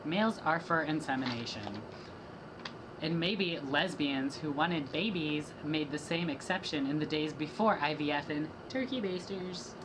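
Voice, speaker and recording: A young woman with a notably low voice delivers rap verses in a steady, rhythmic reading, swapping lines back and forth with a partner. Clear hiss and background noise sit under the homemade recording. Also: unhurried. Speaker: student reader. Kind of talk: dramatic reading of rap lyrics.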